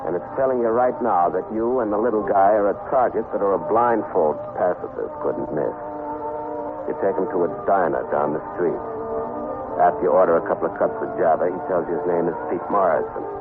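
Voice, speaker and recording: An actor playing a tough, wisecracking private detective in 1950s radio crime drama, sardonic, clipped and threatening. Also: male voice, low-pitched, fairly quick, over loud music. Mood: wry, brooding. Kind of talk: 1950s radio crime drama acting, second-person narration. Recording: muffled, noisy 1950s radio broadcast recording.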